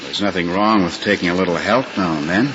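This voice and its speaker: An actor playing a cheerful, joking young lawyer in a 1950s radio drama, breezy and reassuring. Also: male voice, low voice, quick pace, close to an everyday voice. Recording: muffled hissy old radio broadcast.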